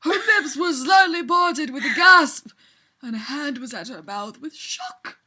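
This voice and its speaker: High, bright, theatrical woman reader. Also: expressive, unhurried. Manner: theatrical, giggly, often breaking up. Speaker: reader of fan fiction. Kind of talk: mock-dramatic reading of a story to friends.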